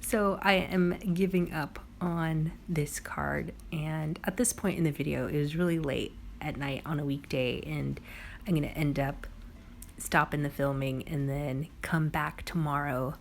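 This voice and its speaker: A young woman with a hoarse, raspy voice from a cold, chatting casually through a crafting video voice-over. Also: sits low, fairly even intonation, unhurried, a little hiss or hum. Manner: chatty, bubbly, lots of "like" and "um". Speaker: crafting vlogger.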